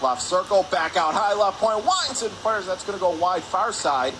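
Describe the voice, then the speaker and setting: A man's voice: high-pitched, very animated. A man calling live radio hockey play-by-play in clipped phrases from an ice rink. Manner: clipped rapid phrases, rising on shots. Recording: clear background noise, arena ambience.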